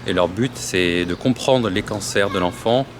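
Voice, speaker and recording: A man's voice: low voice, unhurried. A man representing a charity, explaining its work in an earnest interview with some hesitations. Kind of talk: interview statement. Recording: outdoor street noise.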